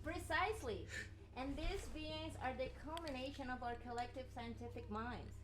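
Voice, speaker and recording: A woman playing a sharp, anxious scientist under siege in an amateur radio play, earnest and dramatic. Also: sits high, moderate pace, very noisy, natural voice. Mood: wary reasoning. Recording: echoey room, music behind.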